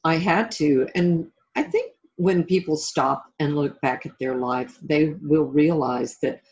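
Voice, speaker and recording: A woman's voice: low voice, measured pace, a little mellow, lo-fi sound. A middle-aged woman being interviewed as a life coach and author, talking reflectively and conversationally in an encouraging way.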